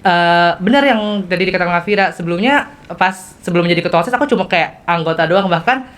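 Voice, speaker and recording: A woman's voice: fairly low pitch, quick pace. A teenage young woman, hosting a casual student podcast interview.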